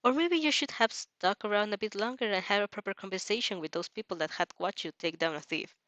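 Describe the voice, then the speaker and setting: A woman's voice: mid-range voice, fairly quick, a bit bright, dry recording. Woman reading a story aloud, dry close sound. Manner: giggly asides, stumbling over words while reading. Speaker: reader reacting to fan fiction.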